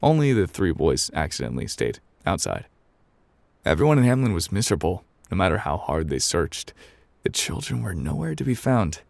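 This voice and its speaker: A man voicing a gruff, indifferent game character who reads a bedtime story in an offhand ASMR narration style with dramatic pauses. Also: low-pitched, big pitch swings, a little mellow, no room sound, full character voice. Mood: offhand, unhurried.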